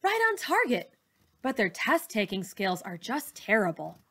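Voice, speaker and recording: A woman's voice: a little high, huge pitch swings, a little slow, fairly bright tone, breathy edge, full character voice. A woman reading a children's novel, voicing a proud, strict perfectionist teacher, a woman with a firm, earnest, defensive manner. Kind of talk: children's novel read-aloud dialogue.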